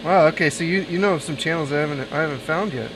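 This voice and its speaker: A man's voice: a little high, quick pace, noisy background. A man streaming a console repair, chatting with viewers and thinking aloud while he solders. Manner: thinks aloud, chats with viewers between tasks. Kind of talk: casual live-stream chat while soldering.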